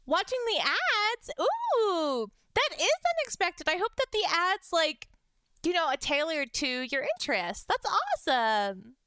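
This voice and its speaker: A young adult woman with a high-pitched voice, chatting casually on a livestream and reading fan messages, bubbly and giggly. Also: very animated, a little slow, bright, forceful tone, dry recording, studio quality. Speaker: VTuber streamer.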